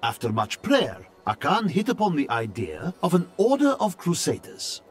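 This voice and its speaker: An actor playing a learned scholar-chronicler, reading his journal as grave, intrigued, deliberate dramatic narration for a fantasy video game. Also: a little high, wildly swooping pitch. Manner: theatrical, deliberate, storytelling delivery.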